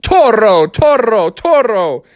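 Man acting a cocky, excitable bear with swooping pitch. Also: takes it slowly, no room sound, clean studio sound, heavily acted. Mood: exuberant. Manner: exclaiming, showy. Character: excitable, cocky male bear, a playful showman.

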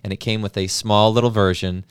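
Male story host, resonant and a little deep, dry sound, at home in readings and chat. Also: steady tone, a little fast, smooth, dry recording. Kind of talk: dramatic poem reading and casual chat.

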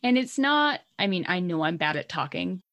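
A woman's voice: fairly low pitch, very animated, moderate pace, a bit bright. A woman with a Canadian accent co-hosting a podcast, chatting casually and laughing often. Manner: giggly, chatty, lots of "like". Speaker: podcast co-host. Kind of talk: casual chat.

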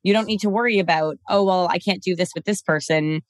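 Fast-talking low-voiced woman host. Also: no room sound. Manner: quick, affirming, summarizing the guest. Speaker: podcast host. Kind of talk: conversational interview.

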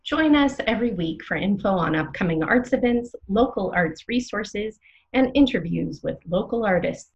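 Excitable small-town radio woman, opinionated and theatrical, big swings in pitch, slightly muffled sound. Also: normal speed, gravelly. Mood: playful, excited. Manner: theatrical, playfully dramatic. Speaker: radio co-host. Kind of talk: scripted comic radio banter.

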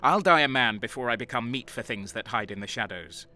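A man's voice: medium pitch, animated, a little fast, sharp tone. A man playing a bitter traitor guardsman who narrates his own story in a grim, hard voice in a fan audio drama. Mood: defiant. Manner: grim inner narration, heated in arguments. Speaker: narrator and lead character.

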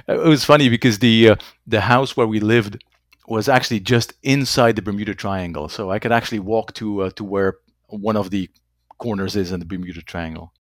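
Low-voiced man, halting speech. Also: no echo, studio quality. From Belgium. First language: Dutch. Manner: halting, repeats words, tags sentences with "right?". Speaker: company executive guest. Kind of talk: conversational interview answers.

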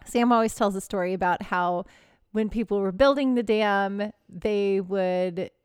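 A woman in her thirties from Texas co-hosting a podcast in casual chat, giggly and quick to gasp and react. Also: mid-range voice, steady tone, clear, resonant tone, dry recording, studio quality. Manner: giggly, gasps and reacts loudly. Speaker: podcast co-host.

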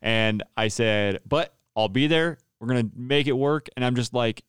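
Man, low voice, smooth resonant tone, dry close sound, animated storyteller. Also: normal speed, no room sound. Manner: animated storyteller, acts out quoted dialogue, laughs often. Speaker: worship pastor and podcast host, interviewed as guest. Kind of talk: casual storytelling interview.

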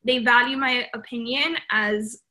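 A woman's voice: on the high side, steady tone, measured pace, pushed and bright. A woman in her twenties from Miami giving earnest, informal interview answers peppered with "like" and "you know". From Miami, born in Colombia. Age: 20s. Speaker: interview guest, public relations account executive.

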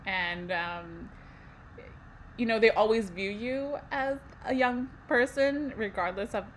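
A woman's voice: a little high, slow, slight background noise, rough recording. A young woman from Canada co-hosting a casual podcast, conversational, with frequent fillers and light laughs. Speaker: podcast co-host.

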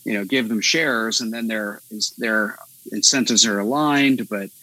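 Male podcast co-host, lowish voice with a bright edge, reflective and meandering. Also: unhurried, no room sound, rough recording. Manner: thoughtful, meandering, many fillers. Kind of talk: casual conversation.

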